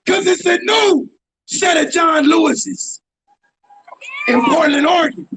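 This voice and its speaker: A man at an outdoor street protest, shouting chants and leading the crowd in call-and-response. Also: very high voice, takes it slowly, vocal fry. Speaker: protest rally speaker. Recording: loud crowd, noisy outdoor rally.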